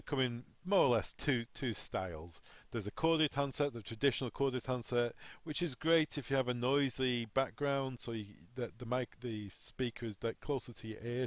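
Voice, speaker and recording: A man's voice: medium pitch, no room sound. A man working as a technical trainer, explaining equipment step by step in an instructional walkthrough.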